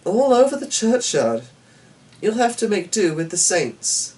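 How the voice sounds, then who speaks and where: A man's voice: sing-song, measured pace, darkish tone, distant mic. A man voicing a plucky, spirited young girl, a knight's daughter, in a storybook reading. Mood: brisk. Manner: high-pitched, spirited.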